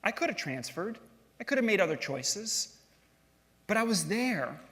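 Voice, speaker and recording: A middle-aged man telling his story from a stage in a relaxed, self-deprecating tone, now and then putting questions to the audience. His voice sits fairly high. Long reverb from a large hall trails each phrase. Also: measured pace. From grew up in Switzerland and California. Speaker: speaker giving a talk.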